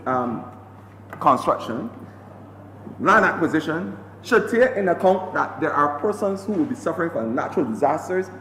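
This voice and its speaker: Barbadian man, MP, fiery repetitive floor speech, raised voice, booming chamber reverb. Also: a bit bright. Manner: impassioned, repetitive oratory. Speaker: member of parliament. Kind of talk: parliamentary debate speech. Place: parliament chamber. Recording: echoey chamber with long reverb and faint hiss.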